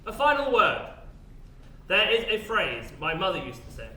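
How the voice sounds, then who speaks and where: A man's voice: high voice, very animated, normal speed, sharp tone, full character voice. An actor playing a droll, officious male overseer, giving formal and pedantic announcements in a stage play. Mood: droll, brisk. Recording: roomy distant stage sound with noise.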